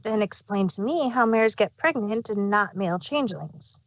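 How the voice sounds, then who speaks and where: A woman's voice: medium pitch, lively intonation, normal speed, no echo, lightly acted, rough recording. A woman voicing a naive, curious and slightly sassy little boy dragon in a fan fiction reading.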